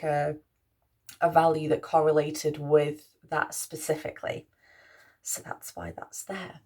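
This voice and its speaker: A young woman with a Mancunian accent, a priestess talking softly through her spiritual values with frequent restarts. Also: low voice. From Manchester, England. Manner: musing, soft-spoken, with frequent restarts. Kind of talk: spoken reflection on spiritual values.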